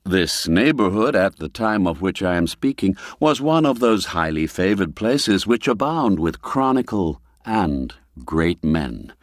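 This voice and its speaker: A man narrates an old folk mystery tale in a low voice that rises and falls widely. He takes his time with each phrase, telling it with measured expression. The recording is very dry and close. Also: big pitch swings, dry recording. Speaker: story narrator.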